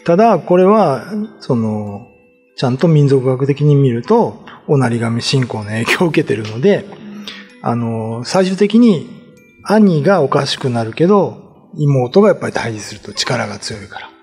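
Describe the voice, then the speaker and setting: A man's voice: mid-range voice, big pitch swings, normal speed, a little mellow, slightly rough, in a large hall, soft background music. A middle-aged man informally explaining folklore as a commentator, heard over a video call.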